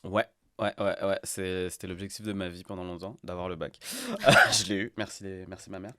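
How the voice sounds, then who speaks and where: A man's voice: sits low, moderate pace, no room sound, clean studio sound. A man in his thirties from Paris with a Parisian accent, a guest in a casual podcast interview who laughs easily and uses lots of fillers. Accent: Parisian French. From France. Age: 30s. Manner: relaxed, laughs often, many fillers. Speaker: guest, restaurant group director.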